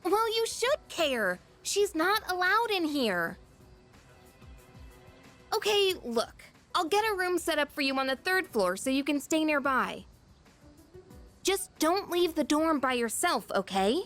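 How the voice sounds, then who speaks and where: A woman voicing an exasperated, protective high school girl in a video game, speaking in an expressive, informal way. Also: unhurried, soft background music, exaggerated voice.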